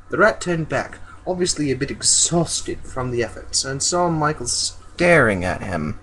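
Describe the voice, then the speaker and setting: Guy reading fan fiction out loud, theatrical and goofy, swoopy pitch, some background hiss. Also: poor audio. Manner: goofy, theatrical, breaks off into joking asides. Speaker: amateur story reader.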